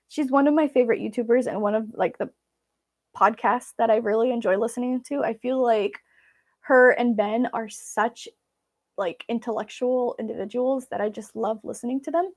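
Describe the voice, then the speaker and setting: A woman's voice: on the high side, normal speed, a little mellow. A woman from Belarus, a native Russian speaker, chatting casually and informally with viewers as she hosts a live Q&A. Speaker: vlog host.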